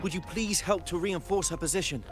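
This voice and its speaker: Guy acting overrun game soldier, high urgent strained voice, loud music behind. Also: brisk, close to an everyday voice. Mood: urgent relief. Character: beleaguered soldier, respectful and urgent.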